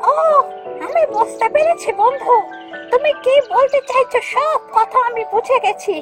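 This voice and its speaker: Woman voicing a very high, eager magical bird. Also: unhurried, over music. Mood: eager, helpful. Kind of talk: cartoon fairy-tale acting.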